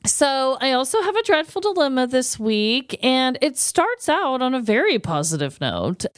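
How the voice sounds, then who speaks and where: Animated, clear-voiced woman podcast co-host. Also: sits high, moderate pace, dry recording, studio quality. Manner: expressive, emphatic lists, casual swearing. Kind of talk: casual comedic chat.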